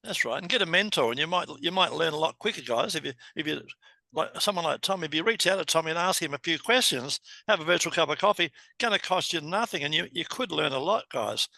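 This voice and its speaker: A man's voice: fairly high pitch, lively intonation, quick pace, creaky, pushed and bright, dry recording. A man, hosting an interview over a video call and talking chattily to the listeners. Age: 70s. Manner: chatty, keeps addressing listeners as guys. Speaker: host. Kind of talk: casual interview.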